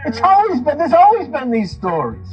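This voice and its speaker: Male lecturer, high register, impassioned and emphatic delivery with repeated phrases, music underneath. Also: wildly swooping pitch, moderate pace, dull audio. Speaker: lecturer on religion and mysticism. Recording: speech mixed over music.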